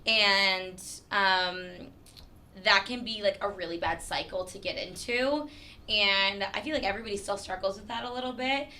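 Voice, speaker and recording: A woman's voice: medium pitch, normal speed, pushed and bright, a little hiss or hum. A woman in her twenties from New Hampshire, a guest chatting casually on a podcast, with lots of "like" fillers. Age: 20s. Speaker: guest, creative director.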